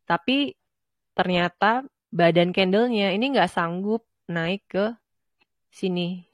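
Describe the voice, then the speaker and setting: A woman's voice: fairly low pitch, slow, no room sound. A woman with a stuffy, nasal voice from a cold, explaining charts informally as a trading instructor. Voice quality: nasal, congested. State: has a cold. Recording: dull lo-fi close dry audio.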